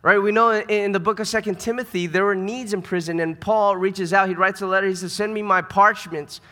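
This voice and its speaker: Male American preacher, slightly high bright voice, earnest and conversational sermon delivery. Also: steady tone, brisk. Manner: earnest, conversational, with emphatic repetition. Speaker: pastor. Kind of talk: sermon to a church congregation. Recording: reverberant hall sound.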